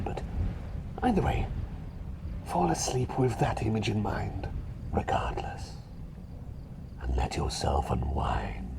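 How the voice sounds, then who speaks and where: A man voicing a kindly, scatterbrained bird doctor in an ASMR roleplay, rambling soothingly between soft talk and breathy whispers. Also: mid-range voice, full character voice. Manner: rambling, soothing, whimsical, often dropping to a whisper. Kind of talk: ASMR roleplay, personal attention.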